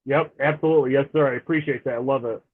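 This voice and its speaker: A man's voice: medium pitch, steady tone, rapid-fire. A man in his forties, a podcast guest, talking in a chatty, friendly way and laughing easily over a remote call. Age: 40s. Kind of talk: casual interview chat. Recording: tinny phone-like remote line.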